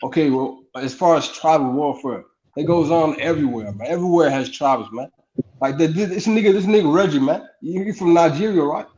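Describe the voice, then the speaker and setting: A man's voice: on the high side, a little fast, darkish tone. A young man from Somalia in a heated casual debate, defensive, restarting often and tacking "man" onto his lines. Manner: defensive, repeats "man" and "look", stammers. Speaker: debate guest. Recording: muffled stream with faint noise.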